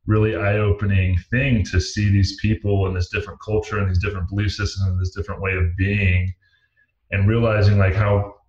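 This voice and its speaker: Low, even-toned American man in echoey room. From United States. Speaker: interviewer, host. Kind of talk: conversational interview. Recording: echoey room, dull lo-fi audio.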